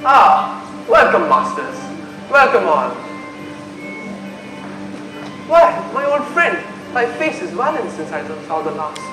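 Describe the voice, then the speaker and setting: Brooding young prince played by a young man, high-pitched, declamatory Shakespearean delivery, reverberant hall recording. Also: sing-song, a little slow. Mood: effusive greeting. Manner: declamatory, dramatic. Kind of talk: theatrical Shakespearean stage acting. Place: school stage performance in a hall. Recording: echoey stage recording, loud music.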